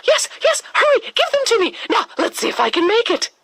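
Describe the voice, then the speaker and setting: Woman voicing a frantic, squeaky rabbit butler. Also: wildly swooping pitch, noisy background, heavily acted. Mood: frantic, hurried. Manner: hurried, anxious, gasping. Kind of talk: children's storybook character voice.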